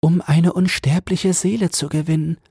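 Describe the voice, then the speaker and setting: Man voicing a breathy, wistful young mermaid girl. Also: on the high side, fairly even intonation, dry recording, clean studio sound, pitched above the performer's own voice. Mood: sad, yearning. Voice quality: soft, very breathy. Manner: gentle, plaintive, slow. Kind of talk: fairy-tale audiobook character voice.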